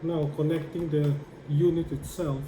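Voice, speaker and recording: A man giving a hands-on how-to demonstration, explaining step by step in a halting way as he works. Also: a little high, level tone. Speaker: tutorial presenter. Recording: echoey room with clear background noise.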